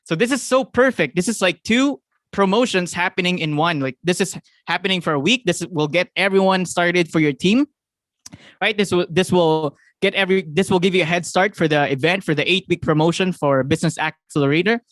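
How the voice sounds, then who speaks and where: A young man with a Filipino accent giving an excited, fast motivational talk to his sales team, often asking "right?" and laughing. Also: sits high, dry recording. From Philippines. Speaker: network marketing team leader.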